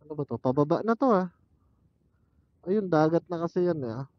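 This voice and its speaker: High-pitched man, muffled helmet mic. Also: lively intonation, fairly quick, no echo. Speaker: motorcycle vlogger. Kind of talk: casual motovlog chat, thinking aloud while riding. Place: outdoors, riding a motorcycle on mountain roads. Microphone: helmet intercom headset used as external mic. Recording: muffled helmet intercom microphone.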